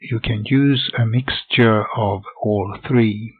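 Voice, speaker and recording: Older man, husky voice, methodical tutorial, dry tinny lo-fi recording. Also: lowish voice, fairly even intonation, slow, dry recording. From Sweden. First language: Swedish. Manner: methodical, plain step-by-step explaining. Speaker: hobby game developer. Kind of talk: software tutorial voice-over.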